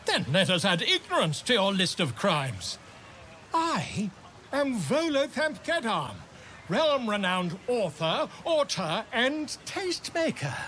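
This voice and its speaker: A man's voice: sits high, wildly swooping pitch, takes it slowly, sharp tone, a little hiss or hum, heavily acted. A man voicing a pompous, theatrical author full of self-importance in a fantasy video game. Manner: pompous, florid, declaiming. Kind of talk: video game dramatic acting.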